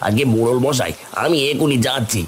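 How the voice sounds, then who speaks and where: A man's voice: mid-range voice, brisk, heavy background noise, close to an everyday voice. A man voicing a nosy, gossiping village henchman in a cartoon folk tale, with a theatrical, pestering manner. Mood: anxious, reporting. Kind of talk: animated Bengali folk-tale cartoon dialogue.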